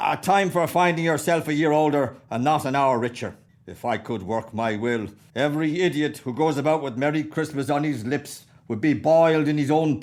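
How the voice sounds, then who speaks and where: A man's voice: mid-range voice, lightly acted. An Irish actor playing a miserly, bitter old man in a radio play, scornful and snapping. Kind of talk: radio drama acting.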